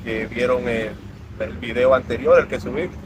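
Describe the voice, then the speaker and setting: A man's voice: medium pitch, moderate pace. Earnest man telling his story. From Dominican Republic. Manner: earnest, reflective. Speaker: interview guest. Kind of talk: personal storytelling in an interview. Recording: outdoor traffic noise.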